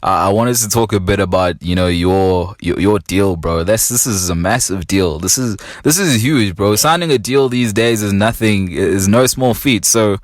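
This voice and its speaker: Low-voiced South African man hosting, dry sound. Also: moderate pace, hardly any pauses, no echo. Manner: slangy, reacting and prompting. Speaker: podcast host, interviewer. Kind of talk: casual interview chat.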